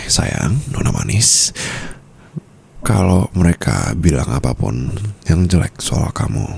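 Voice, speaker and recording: A man playing a possessive, doting mafia boss husband in an intimate ASMR roleplay, teasing with endearments in a breathy, gravelly voice. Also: a little mellow, a little hiss or hum, full character voice. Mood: soothing, firm. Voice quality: very deep, gravelly. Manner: slow teasing endearments, often slipping into breathy whispers. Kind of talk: ASMR boyfriend roleplay, intimate scripted monologue.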